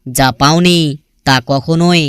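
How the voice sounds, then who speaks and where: A man's voice: on the high side, fairly even intonation, slow, smooth, no echo, studio quality. A man reciting sentimental scripted lines about love in an earnest, melancholy, poetic manner.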